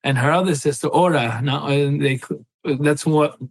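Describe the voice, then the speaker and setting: A man's voice: fairly high pitch, moderate pace, no echo. Passionate man in his thirties, dry close mic. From Syria. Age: 30s. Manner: earnest, passionate, with frequent "right?" asides. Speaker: guest. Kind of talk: personal storytelling in an interview.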